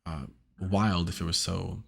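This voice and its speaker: A man's voice: low-pitched, little pitch movement, measured pace, vocal fry, a bit bright. A young man hosting a casual advice podcast, chatty and teasing, with lots of fillers. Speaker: podcast host.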